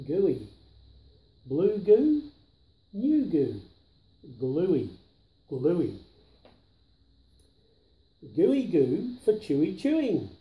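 An older man reading a children's picture book aloud with deliberate, emphatic delivery of the rhymes, recorded in a living room. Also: fairly high pitch, reverberant, phone-quality sound. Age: 60s or 70s.

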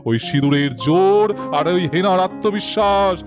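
A man voicing a calm, wise holy man in a puppet cartoon, making measured, reassuring pronouncements. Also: high-pitched, close to an everyday voice. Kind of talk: cartoon voice acting. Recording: background music under the voice.

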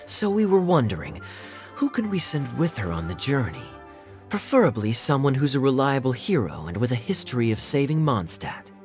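An actor playing a suave, teasing young knight with a smooth, wry drawl in an anime-style game. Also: male voice, medium pitch, very animated, normal speed, soft background music, close to an everyday voice. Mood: measured, persuasive.

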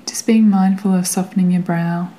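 A woman guiding a meditation in a gentle, soothing way, with a soft, sometimes breathy voice and long pauses between phrases. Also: fairly low pitch, fairly even intonation, low-quality recording. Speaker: meditation guide. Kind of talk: guided meditation narration.

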